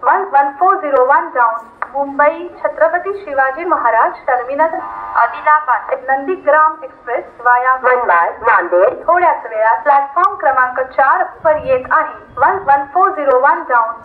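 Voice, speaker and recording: High-pitched Indian woman announcing over echoey station speakers. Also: lively intonation, a little hiss or hum. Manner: formal, measured, word-by-word delivery. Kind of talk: formulaic station announcement, repeated in several languages. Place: railway station, over loudspeakers. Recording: echoey, tinny public-address loudspeaker.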